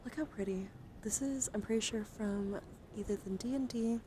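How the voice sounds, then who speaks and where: A woman's voice: medium pitch, moderate pace, background noise. Whispery woman doing playful ASMR. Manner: whispery, drifting between soft whispers and soft-spoken talk; playful, coaxing, talking directly to viewers. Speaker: livestreamer, VTuber. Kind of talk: ASMR livestream, casual chat over trading cards.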